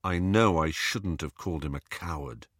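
A man's voice: low-pitched, normal speed, fairly bright tone, dry recording, clean studio sound, voice pushed down. A man voicing a hot-headed, accusing teenage boy in an audiobook story. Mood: defensive, pleading.

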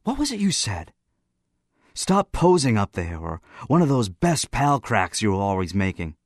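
Guy doing an earnest excitable teen schoolboy, raised pitch, very animated, spontaneous, audiobook. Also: no echo, clean studio sound. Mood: relieved, eager. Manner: spontaneous, earnest, halting as he remembers.

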